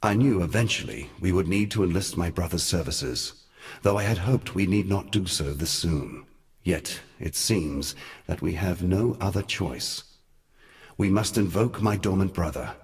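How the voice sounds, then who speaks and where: A man voicing an ancient, weary god in a fantasy game, speaking in a grave, deliberate and eloquent manner. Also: sits low, lively intonation. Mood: grave, resolute. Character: ancient weary god, solemn, regretful, cunning male ally. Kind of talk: theatrical fantasy game dialogue.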